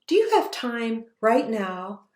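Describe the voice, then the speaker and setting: A woman author reading her children's book aloud in slow, expressive storytelling. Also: on the high side. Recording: roomy echo.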